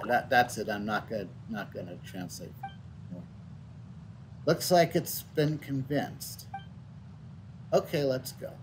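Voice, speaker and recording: A middle-aged man livestreaming a game, chatting casually in a rambling, halting way and reading out on-screen text. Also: mid-range voice, background noise. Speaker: game streamer. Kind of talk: casual livestream chat and read-out. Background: game music.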